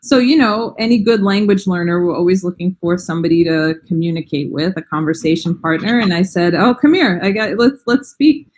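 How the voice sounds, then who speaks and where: Enthusiastic American woman, lowish voice. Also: brisk, few pauses. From United States. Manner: warm, enthusiastic, frequent "um" and "you know". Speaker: language teacher, interview guest. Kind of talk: casual interview chat.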